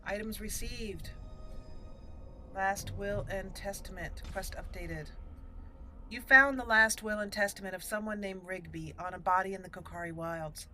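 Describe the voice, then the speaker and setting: Casting: woman let's-play host, chatty running commentary, mid-pitched, game music underneath. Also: steady tone, a little slow, bright, forceful tone. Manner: chatty, thinks aloud, reads game text. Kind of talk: casual gameplay commentary.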